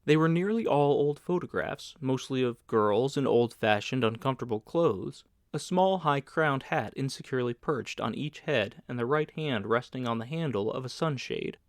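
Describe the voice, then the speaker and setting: A man's voice: medium pitch, expressive, normal speed, hardly any pauses, dry recording, clean studio sound. A man reading literary fiction aloud as an audiobook narrator, in scripted narration.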